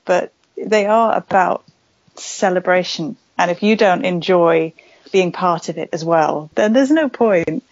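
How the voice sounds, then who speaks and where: A woman's voice: medium pitch, darkish tone, no room sound, lo-fi sound. A woman from West Wales, an author, talking casually and at length as a podcast guest, with many fillers. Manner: chatty, rambling with fillers. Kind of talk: casual interview chat.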